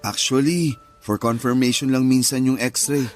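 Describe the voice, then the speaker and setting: A man playing a calm, reassuring young husband and new father, explaining things patiently in a radio drama. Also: medium pitch, a little slow, faint music behind, lightly acted.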